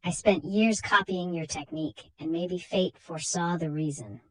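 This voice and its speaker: A woman's voice: lowish voice, moderate pace, darkish tone, natural voice, low-quality recording. A woman voicing a frightened but determined young woman painter in a dramatic horror storybook tale. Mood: anxious, determined.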